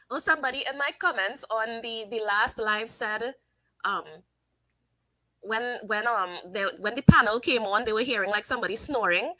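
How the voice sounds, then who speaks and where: Woman streamer, bright and fairly high, close dry mic, opinionated live chat. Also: normal speed, long pauses, dry recording, poor audio. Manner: chatty, opinionated, thinks aloud with fillers. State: sniffly from sinus trouble. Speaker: livestream host. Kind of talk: casual live commentary reading chat.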